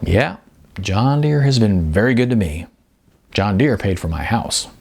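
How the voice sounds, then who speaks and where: A man's voice: fairly low pitch, lively intonation, unhurried, gravelly, a little mellow, slightly rough, exaggerated voice. A man voicing a good-natured, self-deprecating model in his forties, hesitant and full of ums, in an audiobook. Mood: wistful, modest. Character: modest, good-natured balding 40-something male commercial model. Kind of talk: audiobook character dialogue.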